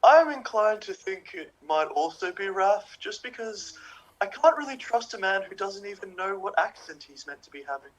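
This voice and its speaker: A man's voice: sits high, sing-song, normal speed, low-quality recording. A man voicing a sleepy, skeptical character with dry remarks.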